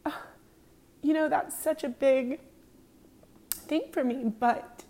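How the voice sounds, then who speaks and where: A woman's voice: high-pitched, steady tone, slow pace, mellow. A woman in her thirties hosting her own podcast, talking in an earnest, confessional way with many ums. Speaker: podcast host. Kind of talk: casual personal talk.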